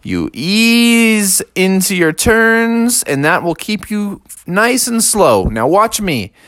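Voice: medium pitch, sing-song, takes it slowly, a bit bright, no echo, pitched above the performer's own voice. A hearty storybook father bear, acted by a storyteller, full of bluster and reassurance. He talks in folksy, old-fashioned exclamations. The sound is close and dry. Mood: brisk, instructive.

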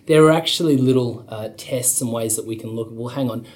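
A man's voice: medium pitch, fairly quick, a little mellow. A man who is a strategy consultant on a podcast, giving structured advice in a conversational way.

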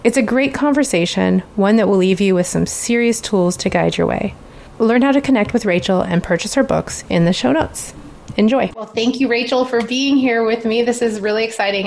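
A woman's voice: mid-range voice, darkish tone, a little hiss or hum. A woman hosting an interview podcast in an informal, enthusiastic and affirming way. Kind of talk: casual interview chat.

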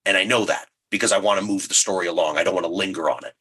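A man's voice: deep voice, racing, bright, forceful tone, husky. A man who writes audio drama, chatting in an interview, explaining how he works in a self-deprecating way. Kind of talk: interview chat.

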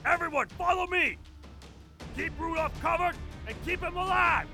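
A man playing a desperate, loyal army lieutenant in a war audio drama, shouting in a strained, frantic voice. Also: very high-pitched, normal speed, vocal fry, faint music behind, heavily acted. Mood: urgent, commanding.

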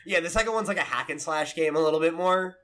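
A man's voice: on the high side, fast, a bit bright. A man co-hosting a casual gaming podcast, chatting informally and freely using swear words. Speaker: podcast co-host.

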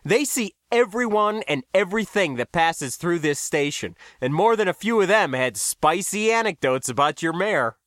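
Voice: mid-range voice, expressive, moderate pace, hardly any pauses, fairly bright tone, dry recording, studio quality, voice pushed up, close to an everyday voice. A storybook narrator voicing a genial, self-satisfied male spacer who talks in a wry, articulate way. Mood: smug, amused.

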